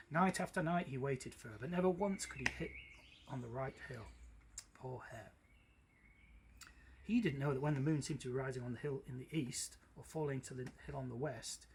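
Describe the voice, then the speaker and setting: A man reading a children's story aloud in an expressive storytelling style. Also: mid-range voice. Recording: clearly noisy background.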